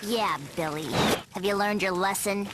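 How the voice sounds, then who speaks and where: A woman voicing a bossy, cynical young girl in a cartoon, deadpan and impatient. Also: mid-range voice, normal speed, heavy background noise, close to an everyday voice. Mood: stern. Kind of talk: cartoon voice acting. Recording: loud music under the voice.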